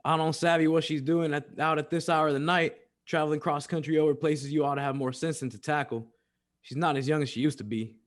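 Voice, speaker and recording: A man's voice: a little high, fairly even intonation, brisk, lightly acted. A man playing a plain-spoken, grumbling frontier marshal in an old-time western radio drama. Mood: puzzled, grumbling.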